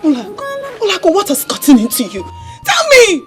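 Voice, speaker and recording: An actress playing a reproachful older sister in a drama. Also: female voice, very high-pitched, huge pitch swings, a little fast, over music, heavily acted. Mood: scolding. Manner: scolding, reproachful. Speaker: elder sister. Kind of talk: film drama acting.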